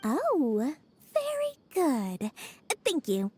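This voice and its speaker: High-pitched, sly little merchant girl. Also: huge pitch swings, very slow, warm tone, faint music behind, heavily acted. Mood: welcoming. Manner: theatrical, wheedling, smug salesmanship. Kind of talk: video game voice acting.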